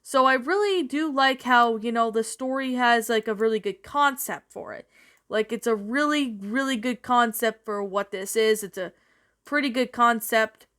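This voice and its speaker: Clear, higher-voiced woman chatting casually. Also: normal speed, a bit bright. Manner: rambling and repetitive. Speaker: creepypasta narrator giving a review. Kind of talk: casual unscripted review chat.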